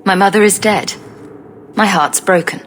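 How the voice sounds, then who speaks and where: A woman's voice: medium pitch, normal speed, lightly acted. Steadfast fantasy heroine acted by a woman, noisy background. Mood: sorrowful. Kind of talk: dramatic video game voice acting.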